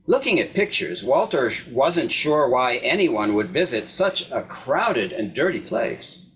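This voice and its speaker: A man's voice: mid-range voice, sing-song, a little slow, a bit bright, low-quality recording. A man narrating a scripted short story aloud as a reader in a readers theater. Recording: clear background noise, clicks.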